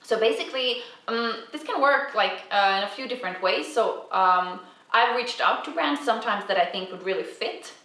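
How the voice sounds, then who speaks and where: A woman's voice: on the high side, lively intonation, moderate pace. Chatty woman in an echoey room. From Finland. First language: Swedish. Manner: chatty, many fillers and soft restarts. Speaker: Instagram creator and vlogger. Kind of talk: casual Q&A vlog. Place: home apartment, indoors. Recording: roomy sound, distant mic, music behind.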